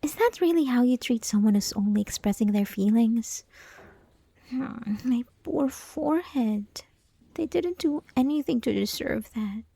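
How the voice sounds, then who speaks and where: Woman acting a soft, high-voiced clingy yandere schoolgirl. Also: animated, vocal fry, husky, no echo, full character voice. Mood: playful pouting. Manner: coy, teasing, pleading, halting, often dropping to whispers. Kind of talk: ASMR roleplay, intimate scripted monologue.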